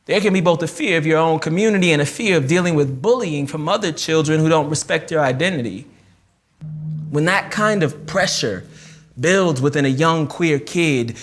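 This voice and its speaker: An actor playing a wounded, reflective man recounting a painful childhood memory in a theatrical memoir monologue, with deliberate pauses. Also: a little high, natural voice. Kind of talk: filmed dramatic monologue reading, first-person memoir.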